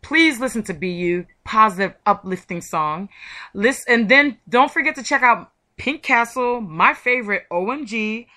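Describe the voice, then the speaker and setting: Female interview host, bright and punchy, effusive promotional chat. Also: a little high, lively intonation, normal speed. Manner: effusive, gushing. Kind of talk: chatty promotional interview hosting.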